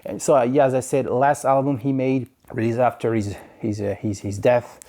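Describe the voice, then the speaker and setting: A man from France with a French accent, chatting casually about his record purchases in a halting way, full of fillers and restarts. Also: medium pitch, fairly even intonation, dark tone. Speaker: vinyl collector vlogger. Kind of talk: casual record haul review. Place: home in Quebec.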